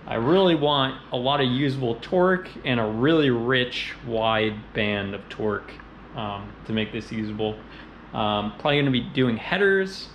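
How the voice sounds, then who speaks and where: A young man talks casually in a home garage, recorded on a nearby action camera. His voice sits at an average pitch, and his loose, rambling delivery is full of ums. A faint hum of room noise sits under him. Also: vocal fry. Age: young adult. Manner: relaxed and informal, many ums, talks shop in loose run-on lists. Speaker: car channel host. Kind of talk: casual garage walkthrough vlog.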